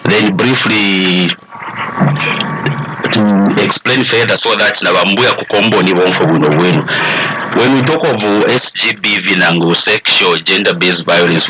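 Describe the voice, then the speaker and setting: Male expert panelist, Zambian accent, lower register, deliberate explanatory delivery, clear crowd sound in the background. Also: poor audio. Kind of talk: explanatory talk mixing English and Bemba.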